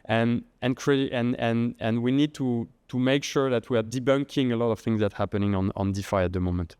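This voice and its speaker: Middle-aged man with a French accent, podcast guest, smooth resonant voice, dry close sound, restarts his phrases often. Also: medium pitch, steady tone, a little mellow, no room sound. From France. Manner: halting, many restarts and repeated words. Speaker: guest, head of treasury and trading. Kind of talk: podcast interview.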